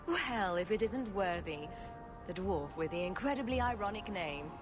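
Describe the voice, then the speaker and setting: A woman's voice: medium pitch, animated, normal speed, close to an everyday voice. An actress playing a wry, sardonic female adventurer hero in a video game. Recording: game audio with long reverb and music.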